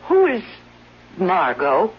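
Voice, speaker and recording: A woman's voice: on the low side, wildly swooping pitch, takes it slowly, husky, full character voice. A woman acting a fearful, clinging invalid wife in a 1970s radio drama, pleading plaintively and emotionally. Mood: guarded suspicion. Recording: noisy dull vintage radio broadcast.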